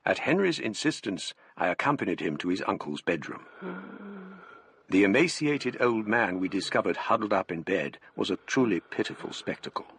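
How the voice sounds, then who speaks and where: An actor playing a scholarly Englishman recounting a gothic tale from his past, in measured, formal radio narration with an English accent. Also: fairly low pitch, lively intonation, fairly bright tone, husky, no room sound, exaggerated voice. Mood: brooding, measured. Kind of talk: first-person literary radio narration.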